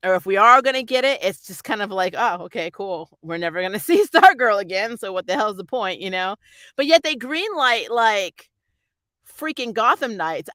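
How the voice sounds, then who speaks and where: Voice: medium pitch, bright, forceful tone, clean tone, no echo. A reviewer chatting casually about TV in a rambling, slangy, mildly exasperated and opinionated way. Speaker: YouTube TV series reviewer.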